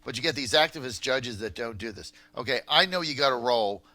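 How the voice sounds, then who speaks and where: An American man hosting political talk radio, with an incredulous, emphatic and halting way of talking. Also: mid-range voice, expressive, bright, forceful tone, dry recording. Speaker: radio talk show host.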